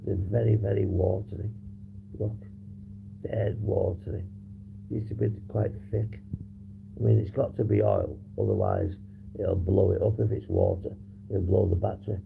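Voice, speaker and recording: A man hosting a casual livestream, hoarse and husky, rambling and swearing as he reads the chat. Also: low voice, expressive, moderate pace, long pauses, phone-quality sound. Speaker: livestream host. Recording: noisy background hum.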